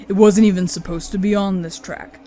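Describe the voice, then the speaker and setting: A woman's voice: mid-range voice, steady tone, brisk, dark tone, a little hiss or hum, natural voice. A woman voicing a male field agent in a horror radio play, matter-of-fact and placating. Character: calm, reasoning male field agent soothing his partner.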